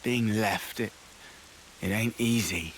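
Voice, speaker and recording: A man's voice: lowish voice, slow pace, a bit bright, slight background noise, poor audio. A man playing a hot-headed, grieving young male soldier in a video game cutscene. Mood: subdued, grieving.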